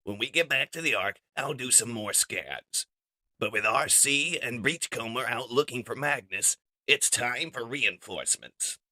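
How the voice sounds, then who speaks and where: A man voicing an earnest, practical robot scientist in a comic dub, explaining in a measured way. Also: medium pitch, animated, sharp tone, no room sound, voice pushed up, exaggerated voice, rough recording. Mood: earnest, resolved.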